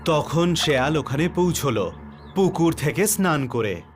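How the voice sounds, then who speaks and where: A man's voice: a little high, animated, unhurried, over loud music. A man narrating a scripted children's story as the storyteller.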